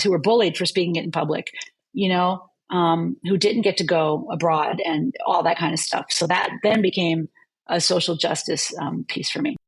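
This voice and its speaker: Woman professor, lower voice, slightly husky, lively storyteller. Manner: vivid, storytelling explanations. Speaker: university linguistics professor. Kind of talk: conversational interview.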